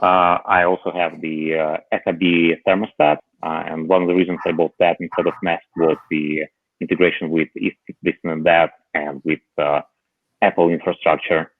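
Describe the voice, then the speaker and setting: A low-voiced man on a tinny, phone-like line, talking hesitantly with lots of fillers and dry humor. The sound is dry, with no room around it. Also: little pitch movement, normal speed, no room sound. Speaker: panel guest. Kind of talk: casual tech chat on a call.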